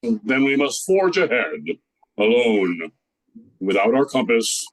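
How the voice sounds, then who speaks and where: A man's voice: big pitch swings, slow, no room sound, poor audio. Grandiose, sweeping male narrator, acted by a man. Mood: grandly solemn. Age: older. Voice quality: deep, authoritative. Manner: pompous, theatrical. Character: grandiose, solemn narrator of an amateur troupe. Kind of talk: amateur radio comedy narration.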